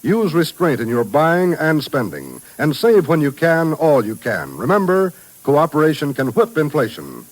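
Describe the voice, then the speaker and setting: A man's voice: on the high side, huge pitch swings, moderate pace, few pauses, a little hiss or hum, rough recording. An American man announcing on 1950s radio, reading a scripted message with formal, emphatic delivery. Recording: vintage 1950s radio recording.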